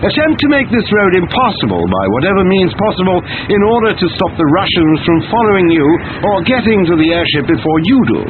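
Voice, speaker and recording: A man's voice: high voice, big pitch swings, a little fast, hardly any pauses. A man playing a formal military commander giving a scripted video game mission briefing over a radio. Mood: urgent, formal. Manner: formal, instructing. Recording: tinny, noisy radio transmission.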